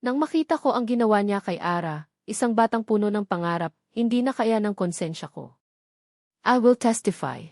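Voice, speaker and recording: A woman's voice: medium pitch, lively intonation, moderate pace, darkish tone, no echo, close to an everyday voice. An elderly accountant, voiced by a woman narrator, full of regret yet firmly resolved. It is storybook character reading, recorded dry. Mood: resolute. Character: old male accountant, remorseful, resolved to testify.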